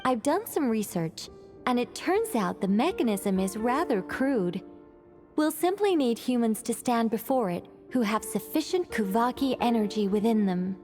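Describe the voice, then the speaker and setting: An actress playing a calm, methodical woman scholar in a video game, speaking in a composed, precise, explanatory manner. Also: a little high, normal speed, faint music behind, natural voice. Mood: explaining. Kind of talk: video game cutscene voice acting.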